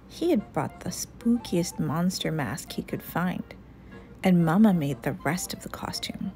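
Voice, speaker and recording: A woman reading a children's picture book aloud in a deliberate, animated storytelling manner. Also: on the low side, music in the background. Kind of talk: expressive read-aloud narration.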